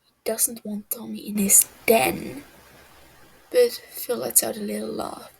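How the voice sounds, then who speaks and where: A woman narrates a story at an unhurried pace. Her voice is on the high side, with a creaky, breathy edge that often sinks into a hush. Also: flat delivery, low-quality recording. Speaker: story reader. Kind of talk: scripted narration of fan fiction.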